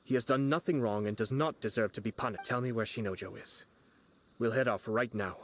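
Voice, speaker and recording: An actor playing a composed young retainer and strategist in a video game, speaking in a measured, earnest and reasoned way. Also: male voice, medium pitch, slight background noise, lightly acted. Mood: calm.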